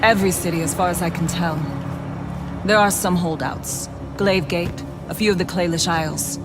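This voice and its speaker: A woman acts out a loyal fugitive mage in a fantasy game cutscene. She is steady and matter-of-fact, in a slightly low female voice. Music plays clearly behind her. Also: normal speed, lightly acted. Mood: grim, explaining. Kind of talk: cinematic video game acting.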